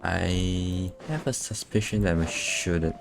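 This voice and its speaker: Low, slow-talking male game streamer. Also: vocal fry, over music. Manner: muttering to himself, sweary. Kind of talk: casual, reactive gameplay commentary.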